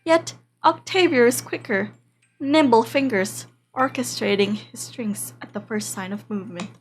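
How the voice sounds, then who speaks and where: A woman's voice: on the high side, a little slow, slight background noise, low-quality recording. A woman streamer reading a visual novel aloud in a playful, dramatic way, breaking off for casual asides. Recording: music behind the voice. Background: game background music.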